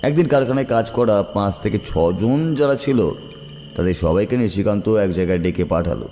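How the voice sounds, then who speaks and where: A man playing a rural local who recounts dark tales in a colloquial, deliberate way in a horror audio drama. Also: lowish voice, a little hiss or hum, close to an everyday voice. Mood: grave recounting.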